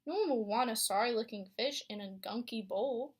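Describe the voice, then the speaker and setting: A young woman, a student teacher, reading a picture book aloud to a class with expressive storytelling and questions for the children. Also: fairly high pitch, moderate pace, breathy edge. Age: young adult.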